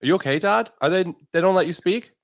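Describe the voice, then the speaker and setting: A man's voice: sits high, quick pace, gravelly, dull audio. A man co-hosting a markets show, chatty and teasing, laughing easily. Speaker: co-host. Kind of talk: casual chat.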